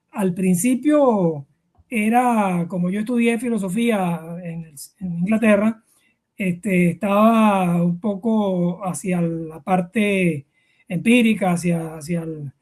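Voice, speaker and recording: High-voiced middle-aged Venezuelan man. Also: darkish tone. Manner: hesitant, with many filler words and repetitions. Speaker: interview guest. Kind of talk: informal explanatory conversation.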